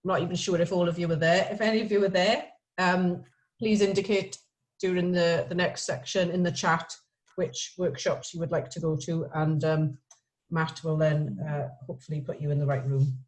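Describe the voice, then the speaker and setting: A woman's voice: lowish voice, steady tone, normal speed, low-quality recording. Woman hosting an online meeting. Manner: friendly, practical, with fillers. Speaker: event host. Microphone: video call.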